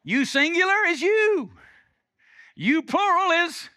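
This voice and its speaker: A man's voice: extremely high pitch, animated, slow, bright, forceful tone, no room sound, studio quality. A male pastor from Alabama with a Southern accent, preaching a sermon with emphatic, conversational delivery. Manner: preaching with rising and falling intensity, emphatic, conversational asides.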